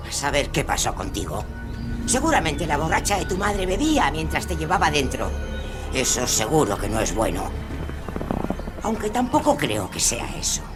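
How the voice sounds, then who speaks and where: Una actriz que interpreta, en un radioteatro, a una abuela anciana, dura, regañona y dominante, con acento de España. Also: female voice, medium pitch. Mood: furious, contemptuous. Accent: Castilian Spanish. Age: older. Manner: scolding, nagging, sharp-tongued. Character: harsh, scolding, domineering old grandmother. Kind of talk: audio drama acting.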